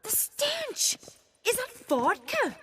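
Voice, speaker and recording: A woman playing a bold, sharp-witted young noblewoman in a video game, spirited, wry and expressive. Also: extremely high pitch, slow, background noise, full character voice. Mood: alarmed, urgent.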